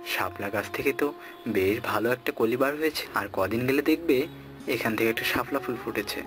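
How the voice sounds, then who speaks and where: A gentle young cartoon prince, played by a man, talks in a medium-pitched voice, courteous and affectionate. Music is clearly audible behind him. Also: brisk, lightly acted. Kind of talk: cartoon character acting.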